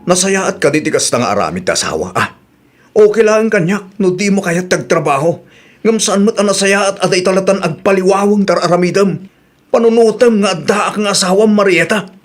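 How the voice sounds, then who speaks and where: An actor with an Ilocano accent playing a wronged, fed-up young husband in a radio drama. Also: male voice, sits high, animated, a little fast. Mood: reproachful. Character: wronged, fed-up young husband confronting his lying wife.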